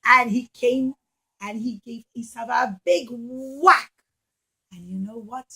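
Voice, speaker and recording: A woman teaching young children a religious story in an expressive way, acting out the dialogue and putting questions to her listeners. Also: a little high, takes it slowly, breathy edge. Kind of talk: storytelling puppet show lesson for young children.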